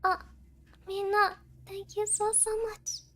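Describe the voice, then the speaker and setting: A woman with a small, childlike cutesy voice chatting as a streamer, halting and excitable, switching between languages as she thanks viewers. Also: very high voice. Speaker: VTuber streamer thanking donors. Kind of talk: cutesy livestream chat with donation thank-yous.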